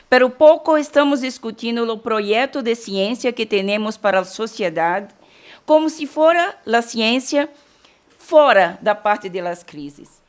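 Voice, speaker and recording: Brazilian woman from Bahia, lecturer, code-switching portunhol, measured academic delivery. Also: medium pitch. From Salvador, Bahia, Brazil. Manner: mixes Portuguese and Spanish, frequent restarts. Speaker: keynote lecturer.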